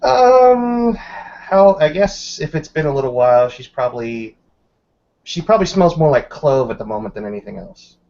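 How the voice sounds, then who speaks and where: A tabletop roleplaying player chatting and narrating informally, in halting, improvised phrases. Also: medium pitch, very animated, mellow. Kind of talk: casual tabletop game chat and narration. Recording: slightly dull, lo-fi audio.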